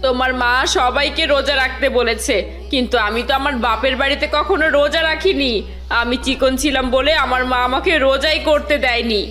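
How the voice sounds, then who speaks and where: Woman as a high-voiced pouty young wife. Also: fairly even intonation, fairly quick, sharp tone, faint music behind. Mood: sulky. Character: sulky, vain youngest daughter-in-law who shirks housework. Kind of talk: Bengali family cartoon voice acting.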